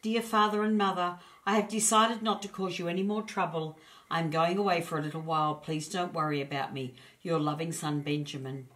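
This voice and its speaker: A woman voicing a gentle, lonely young male storybook dragon with an earnest, polite manner. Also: lowish voice, steady tone, fairly quick, lightly acted. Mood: resigned.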